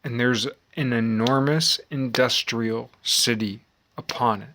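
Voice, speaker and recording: Slow-talking middle-aged American man. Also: mid-range voice, steady tone, pushed and bright, a little hiss or hum. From United States. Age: 40s. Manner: halting, musing, repeats phrases with long pauses. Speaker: vlogger. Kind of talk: rambling reflective monologue.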